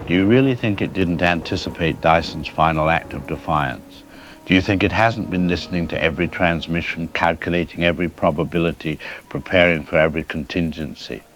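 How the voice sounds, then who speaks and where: A man playing a sardonic, urbane host of dark tales, narrating an audio drama in a suave, ominous, musing way. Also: a bass voice. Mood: teasing, ominous.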